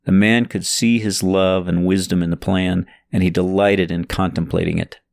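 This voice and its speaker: A man narrating a story from a script in an expressive, suspenseful, dramatic way. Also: deep voice, normal speed, gravelly, no echo, clean studio sound. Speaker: audiobook narrator reading own novel.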